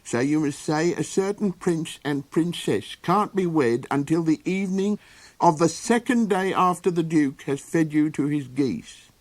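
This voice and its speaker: A man's voice: a little high, a little slow, a little mellow, voice pushed up, natural voice. A man voicing a fussy, muddled little helper creature in a storybook, making quaint, earnest pronouncements. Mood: earnest, scheming.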